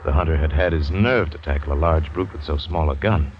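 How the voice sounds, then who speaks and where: A man's voice: a bass voice, brisk, background noise. An actor playing a shipwrecked American big-game hunter who narrates his ordeal dramatically in an old-time radio drama. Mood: suspenseful. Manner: dramatic, vivid storytelling. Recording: dull vintage radio recording, music behind.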